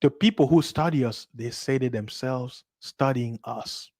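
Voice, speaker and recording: A man's voice: medium pitch, unhurried, darkish tone. A man from Central Africa whose first language is Lingala, talking to a livestream audience in a casual, emphatic monologue full of repetition, restarts and rhetorical questions. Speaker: livestream host.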